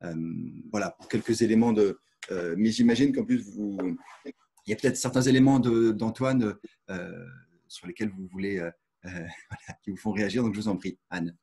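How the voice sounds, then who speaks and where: A man moderating a webinar, speaking haltingly and often repeating words. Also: fairly low pitch, mellow, breathy edge.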